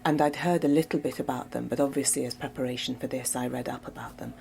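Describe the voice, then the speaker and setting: A woman with an extremely low, gravelly voice speaks thoughtfully and earnestly. She is an actress giving an interview. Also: fairly even intonation, fast, dark tone. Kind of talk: behind-the-scenes interview.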